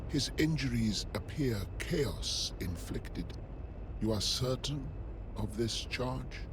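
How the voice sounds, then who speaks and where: An actor playing a cold, suspicious inquisitor in a video game, formal and authoritarian. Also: male voice, mid-range voice, lively intonation, slow, noisy background. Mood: probing. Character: cold, menacing, suspicious inquisitor in grim sci-fi. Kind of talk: video game cutscene acting.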